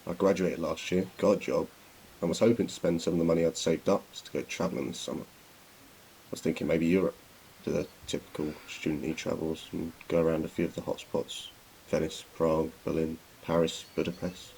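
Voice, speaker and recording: Actor as deep, gravelly young British graduate. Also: male voice, flat delivery, fairly quick, darkish tone, a little hiss or hum, poor audio. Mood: disappointed, anxious. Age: 20s. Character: disillusioned young male graduate in his twenties, anxious. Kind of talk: spoken diary monologue in a short film.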